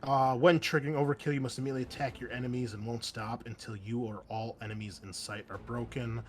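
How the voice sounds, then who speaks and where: A man's voice: medium pitch, fairly even intonation, fast talker, a little hiss or hum. A man running a tabletop roleplaying game as game master, narrating in a casual, descriptive, wry manner. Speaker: game master and show host. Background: music behind the voice.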